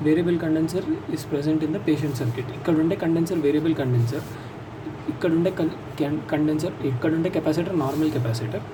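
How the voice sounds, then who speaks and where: A man's voice: on the high side, a little fast. A man with an Indian accent giving an informal explanatory lesson as a lecturer, repeating and rephrasing key points step by step. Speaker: physiotherapy lecturer. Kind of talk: informal explanatory lesson, mixing English terms into Telugu. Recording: distant mic, loud background vehicle noise.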